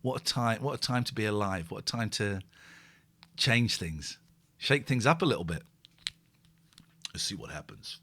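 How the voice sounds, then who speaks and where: A man's voice: lowish voice, lively intonation, moderate pace, pauses often, fairly bright tone. A man, hosting a casual live stream, rambling and self-mocking as he reads out viewer comments. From England. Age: 40s. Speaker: host.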